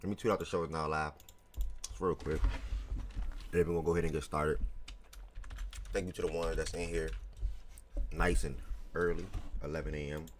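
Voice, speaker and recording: A man hosting a live sports talk stream, chatty and relaxed, greeting viewers by name, his voice a little hoarse. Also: rumbling low, normal speed, pauses often, slight background noise. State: slightly hoarse from yelling the day before. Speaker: live show host.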